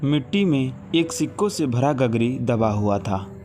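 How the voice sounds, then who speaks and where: A man's voice: medium pitch, animated, unhurried, darkish tone, faint music behind. A man reading a scripted horror story as its narrator.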